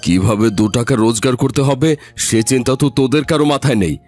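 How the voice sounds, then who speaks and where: A man's voice: mid-range voice, brisk, darkish tone, faint music behind, close to an everyday voice. A man voicing a poor, hot-tempered husband in a cartoon, grumbling and plaintive, fretting and pleading. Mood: angry, grumbling. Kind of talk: cartoon voice-over dialogue.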